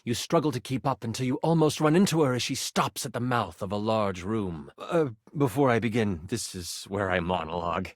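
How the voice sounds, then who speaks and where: An actor playing an eager, sheepish game master, narrating a fantasy adventure theatrically with awkward, casual asides. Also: male voice, lowish voice, expressive, brisk, few pauses, no echo, studio quality, lightly acted. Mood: dramatic narrating.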